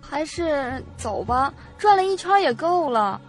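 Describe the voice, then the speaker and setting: A woman's voice: sits high, very animated, measured pace, soft background music. A woman playing a nervous, irritable young female student in an audio drama, speaking in an emotive, exclamatory way. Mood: anxious, pleading.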